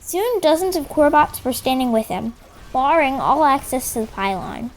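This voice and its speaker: A woman's voice: high-pitched, sing-song, moderate pace, slight background noise, poor audio. A woman voicing an earnest female rebel robot, solemnly reading dramatic logs of an uprising. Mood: earnest, reflective. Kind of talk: scripted dramatic log narration. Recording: music behind the voice.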